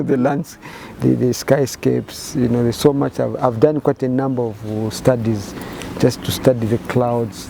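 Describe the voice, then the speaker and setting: A man in his fifties with a Zambian accent, answering interview questions in measured, halting phrases with many repeated words. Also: medium pitch, creaky, background noise. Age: 50s. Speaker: painter and photographer interviewee.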